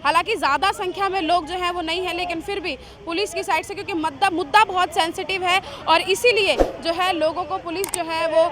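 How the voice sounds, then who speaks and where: A woman's voice: extremely high pitch, fairly even intonation, brisk, few pauses. A woman field reporter improvising live news reports and street interviews outdoors, with repetitive, filler-laden phrasing. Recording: busy outdoor street with crowd and traffic.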